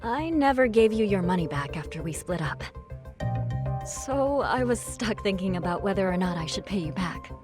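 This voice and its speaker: A woman's voice: mid-range voice, animated, normal speed, music in the background, natural voice. An actress playing a cool, self-possessed, wryly playful woman in a video game, with understated delivery. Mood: sheepish, dry. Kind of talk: video game cutscene dialogue.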